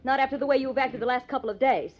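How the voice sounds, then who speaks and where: Actress playing a pouty young sweetheart, lo-fi sound. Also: female voice, high voice, rapid-fire, close to an everyday voice. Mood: hurt, huffy. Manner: coy, pouting. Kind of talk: old-time radio crime drama acting. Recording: dull lo-fi old radio recording.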